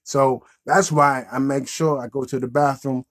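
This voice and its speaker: Husky-voiced man hosting. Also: medium pitch, steady tone, normal speed. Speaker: puppet show host. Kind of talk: casual improvised chat with a puppet. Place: small indoor room with green backdrop.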